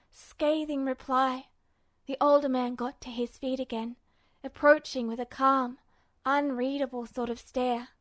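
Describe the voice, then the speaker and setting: A woman's voice: sits high, no echo. A woman narrating fiction in a dramatic, emotive style, like an audiobook reader. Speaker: podfic reader.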